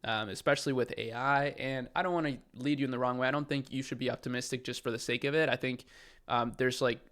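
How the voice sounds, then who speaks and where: A man's voice: mid-range voice, fairly even intonation, fast talker, a bit bright. Upbeat chatty man from Chile. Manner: upbeat, enthusiastic, loose with fillers. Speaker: e-commerce coach and channel host. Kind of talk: casual direct-to-camera talk.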